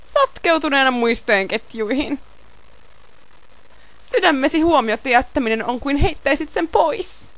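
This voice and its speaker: Woman doing cold creepy guy scientist villain for a game fandub, taunting, super animated pitch, dry close sound. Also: dry recording, poor audio. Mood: ominous, taunting. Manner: theatrical, mocking, ominous. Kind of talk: amateur game fandub acting.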